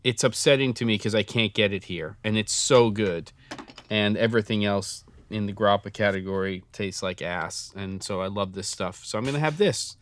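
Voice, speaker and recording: A man's voice: fairly low pitch, normal speed, fairly bright tone. A man co-hosting a casual show, chatting and rambling with frequent laughs. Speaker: podcast co-host. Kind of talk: casual chat.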